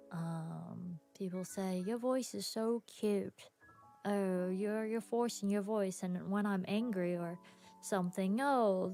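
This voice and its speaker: Young woman podcaster, tired, slow and gravelly, soft music underneath. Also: medium pitch. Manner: soft, rambling, frequent pauses and little laughs. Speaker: podcast host and streamer. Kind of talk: casual solo podcast chat. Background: soft background music.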